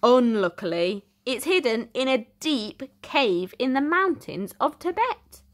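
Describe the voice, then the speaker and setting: A woman reading a children's storybook aloud in an expressive, theatrical way with deliberate emphasis. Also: a little high. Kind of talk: dramatic storytime narration for young children.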